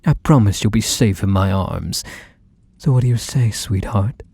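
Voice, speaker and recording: Guy doing a possessive mafia boss asmr thing, dark mellow gravelly voice, slow n coaxing but kinda threatening. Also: fairly low pitch, no echo. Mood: defensive, coaxing. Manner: coaxing, drawn-out endearments, softly menacing. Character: possessive, manipulative male mafia boss, sweet-talking and menacing. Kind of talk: ASMR roleplay, intimate monologue to the listener.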